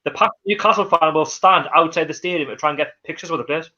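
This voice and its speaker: A quick, chatty Geordie man hosting a live stream, talking in a slightly higher voice than most men. He reads comments and riffs on them with plenty of "uh" and "you know", and the audio is dull and lo-fi. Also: bright, forceful tone. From North East England. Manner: reads viewer comments aloud and reacts, chatty with many fillers. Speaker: livestream host. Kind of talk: casual live-stream chat.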